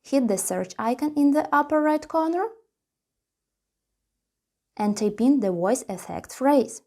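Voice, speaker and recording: A woman's voice: high voice, lively intonation, unhurried, darkish tone. Woman narrating a how-to tutorial. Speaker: tutorial presenter. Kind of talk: scripted step-by-step tutorial narration.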